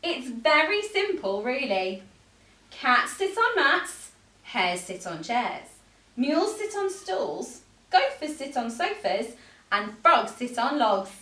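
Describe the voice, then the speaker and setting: Woman voicing a bossy storybook cat, drawn-out and insistent. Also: high voice, big pitch swings, sharp tone, echoey room, far from the mic, pitched above the performer's own voice, full character voice. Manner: emphatic, insistent, drawn-out delivery. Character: bossy, know-it-all cat laying down rules. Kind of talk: children's picture book read-aloud.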